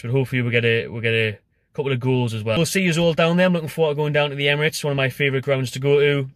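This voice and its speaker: A young Geordie man talks football straight to his phone from the seat of a parked car, chatty and sure of himself. His voice sits at an ordinary middle pitch. The sound is close and dry. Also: a bit bright, no room sound. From North East England. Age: young adult. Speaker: football fan pundit. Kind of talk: casual fan preview to camera. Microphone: phone camera at arm's length.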